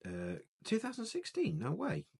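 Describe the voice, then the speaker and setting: A man's voice: high-pitched, huge pitch swings, moderate pace, vocal fry, a little mellow, husky. A man co-hosting a casual discussion of films and games, talking as an enthusiastic, informal fan. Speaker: podcast co-host.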